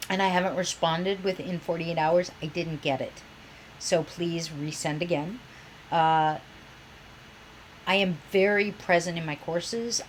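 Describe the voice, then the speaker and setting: A woman professor with a head cold talks through her course introduction, her voice a little nasal and somewhat low. She sounds chatty and enthusiastic. A faint hiss sits under the recording. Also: low-quality recording. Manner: chatty, enthusiastic, self-described geek.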